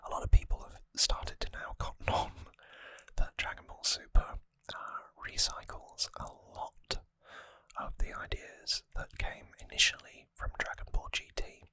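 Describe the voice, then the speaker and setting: ASMR host: fully whispered, bright and breathy delivery, musing trivia commentary, close dry mic. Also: unhurried, no echo. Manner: musing, conversational trivia talk. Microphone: close microphone, dry sound.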